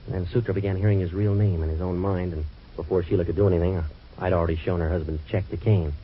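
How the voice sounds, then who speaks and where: An actor playing a hard-boiled waterfront detective in a 1940s radio drama, with dry, clipped wisecracks. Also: male voice, low voice, fairly even intonation, quick pace, close to an everyday voice. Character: wisecracking tough waterfront private eye, man. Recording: dull old radio broadcast with faint hiss.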